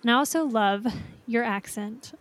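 A woman's voice: fairly high pitch, normal speed. A woman from North Florida with a faded Southern accent, hosting a casual interview with enthusiastic, encouraging remarks. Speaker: podcast host.

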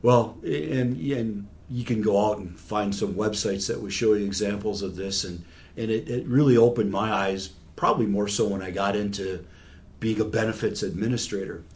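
A man's voice: on the low side, normal speed, a little mellow. A man in his sixties giving patient, conversational explanations with everyday examples, as an expert guest in an interview. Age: 60s.

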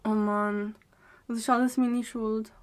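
A young woman with a Swiss German accent playing a kind, apologetic partygoer in an audio drama, speaking gently and hesitantly. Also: on the high side, level tone, slow. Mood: worried, guilty. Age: young adult. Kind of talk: amateur audio drama dialogue. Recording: music underneath.

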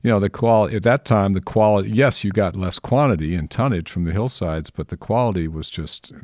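A man's voice: lowish voice, brisk, darkish tone, no room sound, clean studio sound. A man hosting a casual interview, friendly and chatty, laughing easily as he asks questions. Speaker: interviewer, host.

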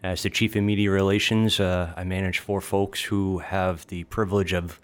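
A man's voice: deep voice, moderate pace. A middle-aged man, a retired officer who now runs media relations, talking steadily on a podcast with a few fillers. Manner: steady, organized, with occasional fillers. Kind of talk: casual podcast chat.

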